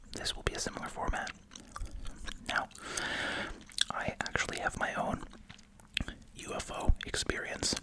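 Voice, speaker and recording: An ASMR host speaking entirely in a soft, breathy whisper, musing casually while chewing gum.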